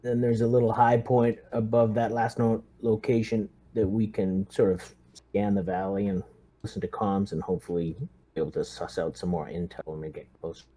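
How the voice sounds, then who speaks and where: Casual man with a lowish voice. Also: flat delivery, normal speed, mellow, rough recording. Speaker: player in a tabletop game. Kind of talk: casual planning and chat.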